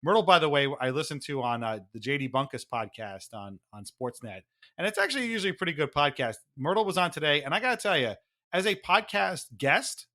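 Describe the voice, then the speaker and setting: Bright, higher-voiced man talking sports emphatically. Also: big pitch swings, brisk. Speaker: podcast co-host. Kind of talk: opinionated sports podcast commentary.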